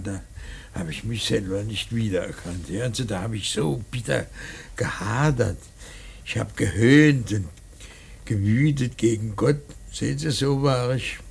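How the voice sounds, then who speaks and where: Slow, gravelly grieving father. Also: mid-range voice, slight background noise. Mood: heavy grief, then bitter, agitated. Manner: halting, emphatic, brooding, with repetitions. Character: grieving, bitter father at his dead son's bier. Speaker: actor. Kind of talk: classic German radio play monologue, theatrical.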